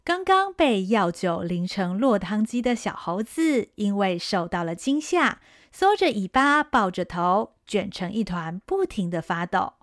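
Woman narrator, Taiwanese Mandarin, animated delivery, dry studio sound. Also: mid-range voice, unhurried, hardly any pauses, no room sound. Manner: vivid storytelling. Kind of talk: scripted radio drama narration.

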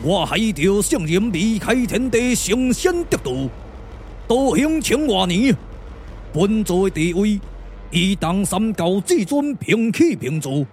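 A man's voice: high-pitched, sing-song, takes it slowly, darkish tone, a little hiss or hum, exaggerated voice. A man voicing a haughty, wrathful ancient immortal sage, imperious and declamatory, in a puppet-theatre radio drama.

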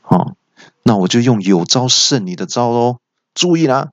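Man playing an unhurried monk, medium-pitched. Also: animated, no echo, muffled recording, close to an everyday voice. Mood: polite. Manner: hesitant openings, polite, philosophical. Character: unhurried, teasing male monk and martial artist.

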